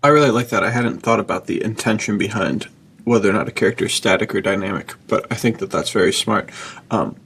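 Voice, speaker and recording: A man chatting informally as a podcast co-host, thinking aloud in a husky, gravelly voice. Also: lowish voice, quick pace, a bit bright, a little hiss or hum. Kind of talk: casual chat.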